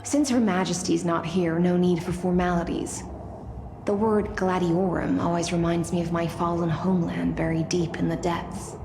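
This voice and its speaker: An actress playing an aloof, poised female knight of the deep sea in a video game, speaking in a measured, formal, coolly wry way. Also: level tone, gravelly, background noise. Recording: echoey voice over background music.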